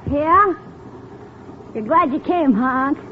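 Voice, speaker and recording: A man's voice: very high-pitched, expressive, takes it slowly, full character voice. An actor playing a mischievous, eager young nephew in 1950s radio comedy, protesting his innocence. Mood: mock innocent. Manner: eager, exclamatory, mock-innocent. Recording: tinny, noisy old radio recording.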